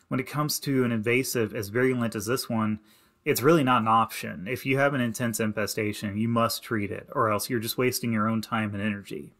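Guy from indiana walking through slides, practical how-to advice, mid pitch. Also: fairly bright tone. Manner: plain, practical and advising, with many "you need to" instructions. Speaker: extension educator. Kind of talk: narrated slideshow lesson. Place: voice-over to slides.

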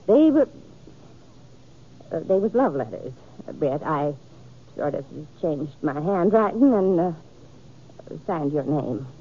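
A folksy older American busybody speaks haltingly and sheepishly, her pitch rising and dipping freely. It is 1950s radio western acting on a thin, narrow-band recording. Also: sing-song, breathy edge, heavily acted. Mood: sheepish confessing. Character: meddling, sheepish older small-town matchmaking woman.

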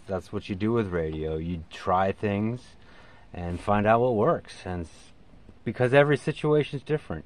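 An American man in his forties explaining things in a casual, informal vlog style, with emphatic, halting phrasing. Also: fairly low pitch. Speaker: radio hobbyist video host. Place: inside a parked SUV.

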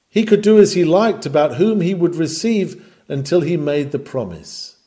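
Guy reading an old victorian sermon, slowish and mellow, very earnest and preachy oratory. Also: medium pitch. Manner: earnest, oratorical, with rhetorical questions and emphatic pauses. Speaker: sermon reader. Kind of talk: dramatic read-aloud of a Victorian sermon.

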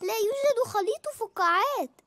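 A woman voicing a cheerful little girl piglet in a dubbed cartoon, giggly and excited. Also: extremely high pitch, normal speed, slight background noise.

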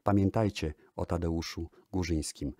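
A man hosting a music radio programme, speaking deliberately in short phrases with pauses between them. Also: sits low, fairly even intonation, darkish tone, no room sound, studio quality. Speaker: radio show host. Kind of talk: spoken music radio programme narration with digressions.

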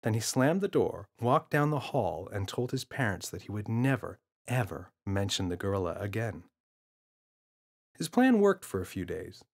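A man's voice: lowish voice, sing-song, moderate pace. A man narrating a children's audiobook as a storyteller.

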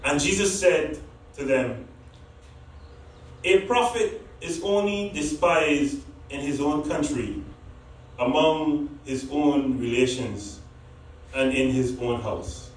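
A man reads the gospel in a slow, careful cadence, his voice slightly high. He is captured from a distance, with the long echo of a church and faint background hiss. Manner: measured, deliberate reading. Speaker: deacon reading the gospel. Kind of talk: liturgical gospel reading. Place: large church hall. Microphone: far camera across the church. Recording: echoey church from far off.

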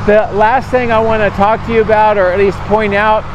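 A man in his fifties giving a measured, explanatory vlog update to camera outdoors. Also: high-pitched, flat delivery, dull audio. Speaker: drone vlogger. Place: outdoors beside large industrial building site. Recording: clear outdoor site and vehicle noise. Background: vehicles, outdoor ambience.

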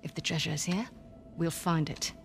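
Blunt, low-voiced mercenary commander. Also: female voice, steady tone, moderate pace, creaky. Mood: cold, resolved. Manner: firm, commanding, impatient. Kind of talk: cinematic video game acting. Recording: music bed behind dialogue.

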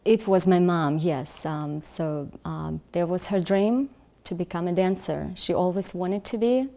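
Soft-spoken woman. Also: lowish voice, unhurried, creaky. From Russia. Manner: soft, hesitant, many ums. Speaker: dancer, guest. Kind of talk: interview answers. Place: furnished living room. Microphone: lapel mic.

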